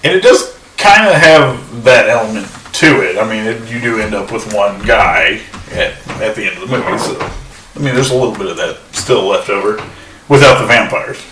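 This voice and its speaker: A man's voice: lowish voice, lively intonation, fairly bright tone. Distant, echoey co-host man. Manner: quick agreeing remarks, laughs often. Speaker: podcast co-host. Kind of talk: casual chat. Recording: echoey and distant from the mic, faint noise.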